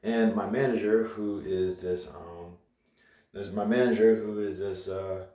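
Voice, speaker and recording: Rambling low-voiced young man, echoey room. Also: a little slow, distant mic. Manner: rambling, animated, with frequent fillers and restarts. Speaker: vlogger. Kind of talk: casual vlog rant.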